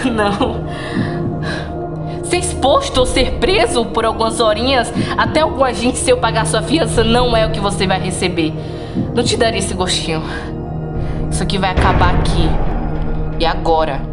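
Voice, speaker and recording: Woman acting a vengeful, obsessive stalker over loud music. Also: fairly high pitch, normal speed, close to an everyday voice. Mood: bitter, scornful. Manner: accusing, scornful. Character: vengeful, obsessive female stalker, bitter and menacing. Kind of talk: amateur audio drama acting.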